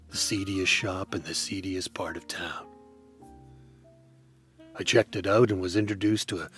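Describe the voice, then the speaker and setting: A man narrating a hardboiled detective story in a dry, deadpan, wry manner. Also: deep voice.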